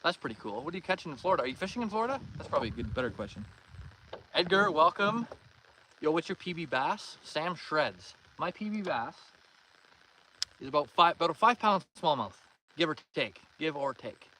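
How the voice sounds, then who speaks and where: A man's voice: a little high, very animated, fairly quick, bright, forceful tone. Friendly Canadian man livestreaming over outdoor noise. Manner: friendly, welcoming, thanks and greets viewers by name. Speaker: livestream host, angler. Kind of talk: casual livestream chat, reading and answering viewer comments. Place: outdoors in a canoe on a pond. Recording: noisy outdoor recording with water and canoe sounds.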